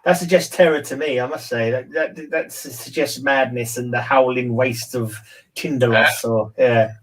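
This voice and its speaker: A man co-hosts a relaxed literary stream in a middle-pitched voice. He rambles good-humouredly and tends to repeat phrases as he works through an idea. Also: normal speed. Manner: jokey, rambling, often repeats himself. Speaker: co-host of a literary read-along stream. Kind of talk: casual book discussion on a live stream.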